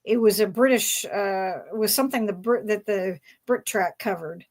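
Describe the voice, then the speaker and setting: A woman's voice: mid-range voice, moderate pace, a little mellow. A woman hosting a casual fan panel, talking in a hesitant, rambling way as she searches for words. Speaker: panel host.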